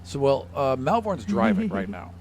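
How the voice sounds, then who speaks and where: A man's voice: medium pitch, very animated, a little mellow, slight background noise. A man improvising narration as game master of a tabletop game, casual and joking. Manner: casual, joking, quick to laugh.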